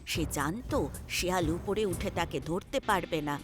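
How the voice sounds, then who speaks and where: A woman narrating a children's story in an expressive, scripted storytelling style. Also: lowish voice, normal speed. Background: loud music.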